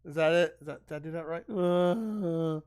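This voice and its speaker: Loud, chatty male game streamer. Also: on the high side, moderate pace, clear, resonant tone. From Italian family. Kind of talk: casual gaming livestream chat.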